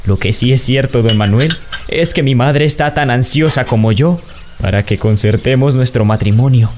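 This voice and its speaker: An actor playing a formal, deferential young suitor in a radio drama. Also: male voice, medium pitch, moderate pace, darkish tone, a little hiss or hum, close to an everyday voice. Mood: courteous, earnest. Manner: deferential, polite.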